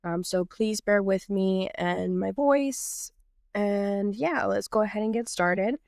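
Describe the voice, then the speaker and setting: A woman's voice: medium pitch, takes it slowly, gravelly, a little mellow, no echo, studio quality. Nasal-sounding woman hosting a podcast. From Texas. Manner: earnest, reflective, loosely improvised with fillers. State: nasal from a cold. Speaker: podcast host. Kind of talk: reflective podcast monologue.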